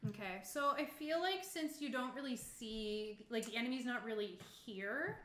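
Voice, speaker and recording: Bright-echo woman game master in roomy space. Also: a little high, animated, normal speed. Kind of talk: casual tabletop roleplaying narration. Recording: roomy echo, faint background noise.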